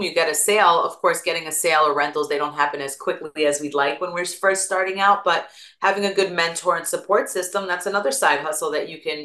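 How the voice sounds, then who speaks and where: A woman with an East Coast American accent and a low, bright, forceful voice, chatting as an interview guest. She talks casually, piling up examples and personal stories. The room around her is echoey. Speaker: guest, attorney and real estate broker.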